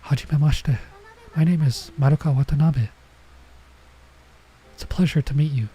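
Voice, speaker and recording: A man's voice: a little high, normal speed, mellow, husky, poor audio. A man voicing a polite young woman in a visual novel, reading her lines in his own stuffy-nosed voice. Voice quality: stuffy nose. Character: polite young woman, a shy local girl. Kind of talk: reading game dialogue aloud.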